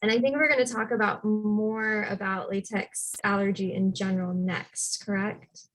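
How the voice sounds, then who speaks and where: A woman's voice: medium pitch, moderate pace, poor audio. Chatty woman on a panel. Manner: chatty, lots of "like", animated when quoting herself. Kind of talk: casual panel discussion.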